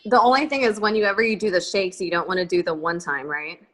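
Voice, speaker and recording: A woman chatting casually on a video call, talkative and quick to laugh while planning meetings. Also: on the low side, fairly bright tone, faint music behind.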